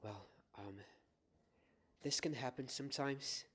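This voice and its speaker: Slow, gentle wizard professor, played by a man. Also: medium pitch, slight background noise. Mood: calm, instructive. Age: young adult. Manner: slow, soothing, pausing, repeats words softly. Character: kind, gentle male wizard professor teaching defense class. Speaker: ASMR roleplayer. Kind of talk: soft-spoken ASMR roleplay.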